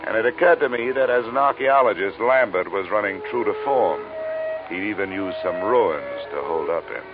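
Man acting hard-boiled investigator, low voice, wry and businesslike, vintage radio detective drama, muffled old broadcast sound. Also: lively intonation, moderate pace, music in the background, natural voice. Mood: wry, narrating. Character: cool, hard-boiled male insurance investigator. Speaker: lead actor and narrator. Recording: narrow vintage radio recording.

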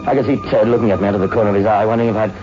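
A man's voice: fairly low pitch, flat delivery, rapid-fire, music in the background, natural voice. An actor playing a frightened, frantic man hunting a killer in a morgue, stammering and agitated, in a 1960s radio horror style. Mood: grim narration. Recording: dull, noisy old radio recording, echoey hall.

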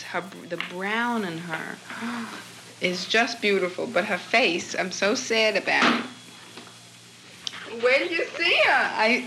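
A woman with an American accent talks casually. Her voice is bright and sharp, caught on a 1950s portable recorder with faint noise behind it. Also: mid-range voice, rough recording. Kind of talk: casual chat.

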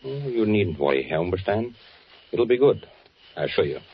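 Low-voiced, guarded wartime undercover officer. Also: male voice, sing-song, normal speed, breathy edge, full character voice. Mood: confident, dry. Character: careful undercover agent posing as a German army officer. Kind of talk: 1940s radio acting. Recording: dull, noisy 1940s radio recording.

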